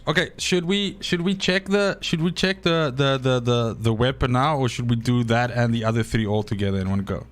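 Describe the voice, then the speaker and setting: A man's voice: medium pitch, a little fast, hardly any pauses. A man, an Afrikaans speaker, rambling and swearing freely as he streams a game and talks to his chat. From South Africa. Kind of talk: live gameplay stream chat.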